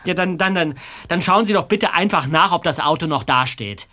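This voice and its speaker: A flustered young detective under questioning. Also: male voice, fairly high pitch, normal speed, pushed and bright. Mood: defensive. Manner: defensive, flustered. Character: young male detective under interrogation, nervous. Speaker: suspect in a radio play. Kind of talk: radio play acting.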